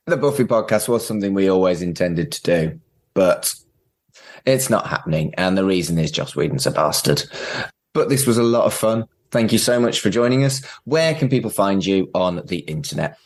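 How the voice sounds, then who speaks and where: A man's voice: deep voice, animated, normal speed. A man hosting a podcast in a casual, conversational style. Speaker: podcast host.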